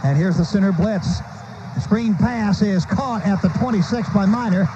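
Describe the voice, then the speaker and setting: High-pitched American man calling football on radio. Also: normal speed. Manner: clipped running phrases that swell on big plays. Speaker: play-by-play announcer. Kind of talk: live radio football commentary. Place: stadium broadcast booth. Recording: muffled noisy old radio broadcast.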